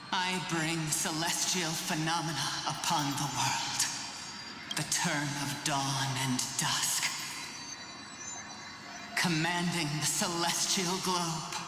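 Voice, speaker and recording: A woman's voice: low voice, fairly even intonation. A woman playing a solemn, defiant priestess of an oppressed people in a fantasy game. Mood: solemn incanting. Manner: solemn, ceremonial, measured incantation. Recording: echoey hall reverb, music behind.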